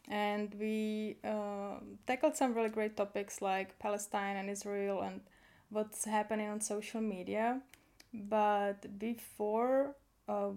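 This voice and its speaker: A woman's voice: medium pitch, darkish tone. Woman hosting a curious interview. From Ukraine. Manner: curious, asks probing follow-up questions. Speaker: host. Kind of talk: casual interview.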